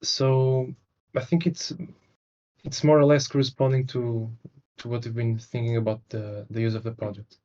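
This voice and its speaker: A young man from Portugal answers interview questions over a video call. He speaks hesitantly, pausing on fillers, in a flat, even tone. The sound is a little muffled. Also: medium pitch. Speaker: interview guest, developer. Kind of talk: casual interview talk.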